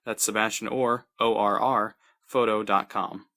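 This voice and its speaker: A man's voice: lowish voice, fairly even intonation, unhurried, pushed and bright. A man reading a scripted sponsor announcement.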